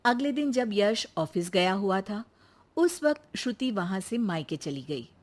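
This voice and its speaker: A woman's voice: medium pitch, very animated, measured pace. A woman giving scripted narration of a family moral story.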